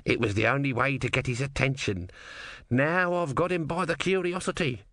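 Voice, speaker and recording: A man voicing a sly, scheming tortoise god talking in a low confiding voice. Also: moderate pace, fairly bright tone, no room sound, clean studio sound, close to an everyday voice.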